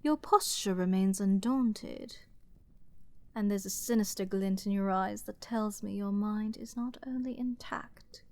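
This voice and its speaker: Woman playing a haughty, slow-spoken princess. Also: medium pitch, darkish tone, dry recording. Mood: cool appraisal. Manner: haughty, theatrical, drawn-out aristocratic phrasing. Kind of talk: dramatic fantasy audio roleplay, ASMR-like close delivery.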